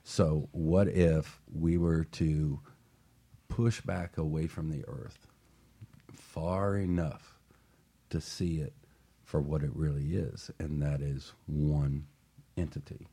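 A man with a very low, somewhat husky voice hosts a radio talk show. He sets out his opinions in a reflective, halting manner, often repeating a word before going on. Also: steady tone, mellow.

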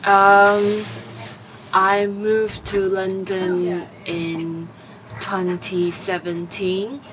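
A woman's voice: medium pitch, flat delivery, drawn-out words, over music, rough recording. A woman in her twenties from Taiwan, answering interview questions hesitantly with many fillers. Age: 20s. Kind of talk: oral history interview.

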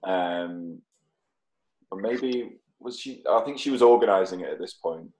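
Low-voiced British man in his thirties. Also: unhurried, a little mellow, low-quality recording. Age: 30s. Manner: sweary, rambling. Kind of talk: casual chat.

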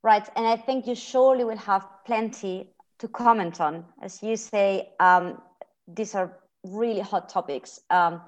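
Woman with a Spanish accent, podcast host; friendly, hesitant delivery with restarts, mid pitch. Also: unhurried. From Spain. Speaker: podcast host, research fellow. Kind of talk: podcast interview.

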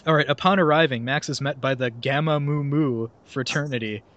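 A man's voice: mid-range voice, brisk, fairly bright tone, highs cut off. A young man hosting a podcast, chatting casually and reading a summary aloud with sarcastic asides, often laughing mid-sentence. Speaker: podcast host.

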